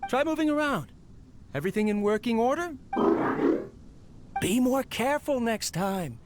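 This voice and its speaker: A man's voice: very high voice, very animated, normal speed, loud music behind the voice. A man playing an ambitious, eccentric inventor in a video game, theatrical and fond, as if talking to his own creation. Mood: gentle, chatty. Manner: theatrical, talks fondly to his machine.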